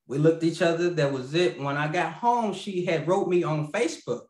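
Male guest, 30s, rambling storyteller, lots of fillers, slightly high voice, echoey video-call audio. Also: normal speed, a little mellow. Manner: rambling, laughs mid-sentence, many fillers and restarts. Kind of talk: casual storytelling. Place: home room.

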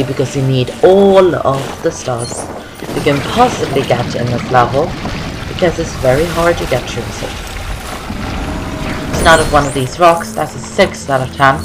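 A young woman talks through a video game. She is chatty and rambling, often repeating herself, with music playing clearly behind her. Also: extremely low pitch, low-quality recording. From Norway. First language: Norwegian. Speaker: gaming video host. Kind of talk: casual game walkthrough commentary.

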